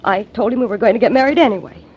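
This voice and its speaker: A woman's voice: on the high side, racing, a little mellow, husky. An actress playing an anxious young female singer in a 1940s radio drama, speaking hesitantly with many stumbles. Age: young adult. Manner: hesitant, faltering speech. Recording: dull old radio recording.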